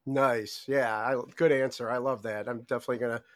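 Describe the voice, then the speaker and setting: A man's voice: medium pitch, steady tone, brisk, dry recording, studio quality. A middle-aged man hosting a podcast, asking loose, rambling questions full of "you know" in a casual interview. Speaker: podcast host.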